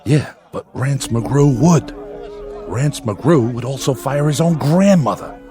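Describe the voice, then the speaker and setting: An actor playing a brisk, weary television director in a radio drama. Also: male voice, mid-range voice, animated, mellow. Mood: weary, practical. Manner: directive, businesslike. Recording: faint music behind the voice.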